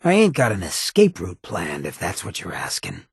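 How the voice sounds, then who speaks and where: An actor playing a roguish, irreverent ghoul in a video game, with a raspy American voice and a wry, cocky, streetwise drawl. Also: male voice, deep voice, wildly swooping pitch, moderate pace, no room sound, clean studio sound, exaggerated voice. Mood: warm, teasing. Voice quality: raspy, gravelly. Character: roguish drug-loving irradiated ghoul, swaggering, irreverent, loyal. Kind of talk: video game companion dialogue.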